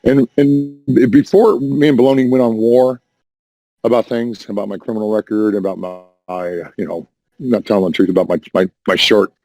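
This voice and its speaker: Hoarse man rambling on a voice call. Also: on the low side, steady tone, moderate pace, dark tone, rough recording. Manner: rambling, defensive, repeats and restarts. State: hoarse, says voice is damaged. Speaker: Discord voice chat caller. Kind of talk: rambling casual chat.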